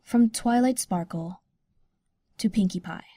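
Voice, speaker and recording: A woman's voice: mid-range voice, a little slow, mellow, husky, no room sound. A woman giving scripted narration as the announcer who introduces each letter.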